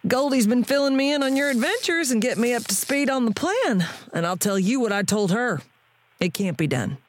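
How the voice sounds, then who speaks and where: A woman's voice: fairly high pitch, animated, fairly quick, slight background noise, close to an everyday voice. A woman playing a world-weary, plain-spoken radio tinkerer with a folksy frontier manner in an audio drama. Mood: wry.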